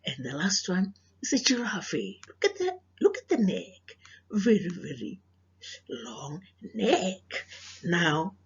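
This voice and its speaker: Slow, animated South African woman teacher. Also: lowish voice, breathy edge, dull audio. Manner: deliberate, questioning, addressing young children. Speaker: teacher reading a story aloud for children. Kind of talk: presentation and read-aloud to children.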